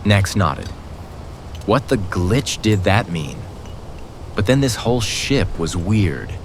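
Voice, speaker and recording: A man's voice: fairly low pitch, lively intonation, slow, slight background noise. A man narrating a scripted audio drama as a dramatic storyteller.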